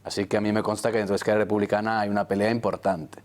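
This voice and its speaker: A lowish-voiced man from Italy, speaking as a native Italian, offers analysis as a journalist on a studio panel. He talks in a measured, hedging way, with frequent fillers. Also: flat delivery, darkish tone.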